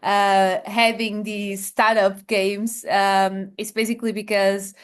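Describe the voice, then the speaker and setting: A woman from Portugal with a Portuguese accent, answering interview questions as a founder with many pauses, fillers and restarts. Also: mid-range voice, level tone, clear, resonant tone. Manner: hesitant, many fillers and restarts, laughs softly. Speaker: founder guest.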